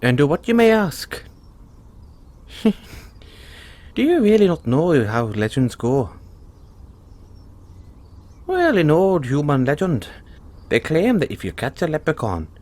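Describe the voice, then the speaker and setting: A man's voice: a little high, very animated, slow pace, pauses often, a little mellow. A man playing a cheerful, kindly leprechaun with a teasing, folksy manner, in an audio roleplay spoken to the listener. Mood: curious, sly. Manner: chuckling, teasing, folksy talk. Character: cheerful, kindly male leprechaun, chatty and sly.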